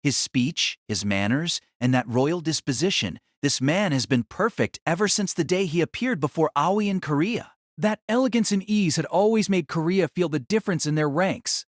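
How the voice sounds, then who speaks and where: Male narrator, slightly high voice, very dry recording, solemn dramatic fantasy storytelling. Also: expressive, normal speed, no echo.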